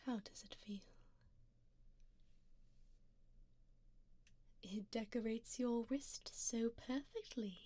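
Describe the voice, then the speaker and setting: A woman playing a wealthy, doting vampire sugar mommy in an ASMR roleplay, speaking softly in a genteel, seductive way, often slipping into a whisper close to the mic. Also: mid-range voice, dry recording. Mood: admiring, intimate. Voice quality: soft, breathy, often dropping to a whisper. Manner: slow, genteel, formal, seductive. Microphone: close binaural microphone.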